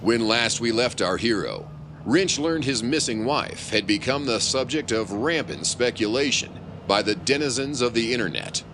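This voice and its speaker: An actor playing a melodramatic announcer, narrating a pulp adventure serial with grandiose suspense. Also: male voice, mid-range voice, animated, moderate pace, noisy background, natural voice.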